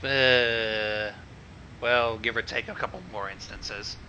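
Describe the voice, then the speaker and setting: A man joining a casual reading as guest, reacting excitably with laughs and commentary, heard over a lo-fi voice call. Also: on the low side, takes it slowly, smooth. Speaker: co-host guest.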